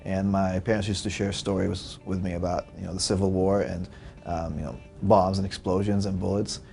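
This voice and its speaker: A man's voice: low voice, flat delivery, moderate pace, gravelly, mellow, faint music behind. A young man, a startup founder giving earnest interview answers with frequent fillers. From Lebanon.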